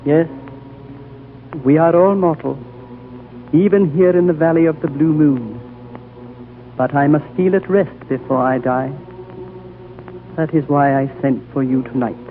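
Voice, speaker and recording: Grave old high lama, slow prophetic delivery, slightly high voice, tinny old radio sound. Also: male voice, slight background noise. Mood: solemn. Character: ancient wise male high lama, solemn and gentle. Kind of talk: 1930s radio drama acting. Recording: old phone-like radio broadcast, faint music.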